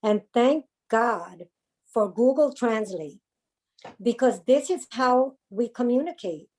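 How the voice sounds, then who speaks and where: A woman from the South Bronx with a slight Spanish-influenced New York accent, speaking earnestly as an interview guest, pausing often with fillers and repeats. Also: a little high, lively intonation, a little mellow. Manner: earnest, halting, with frequent ums and repeats. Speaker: domestic violence advocate, guest. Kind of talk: interview conversation.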